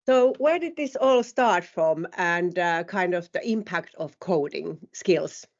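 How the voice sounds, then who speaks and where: A woman's voice: fairly low pitch, lively intonation, slow, lo-fi sound. A woman hosting a podcast interview, reading a scripted opening and then asking questions hesitantly with many fillers. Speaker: podcast host.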